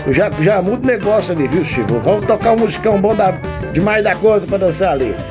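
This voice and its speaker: A man hosting a call-in radio show, greeting callers warmly and humming along. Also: sits high, fast, over loud music. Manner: lively, affectionate, sings along in bits. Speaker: radio host.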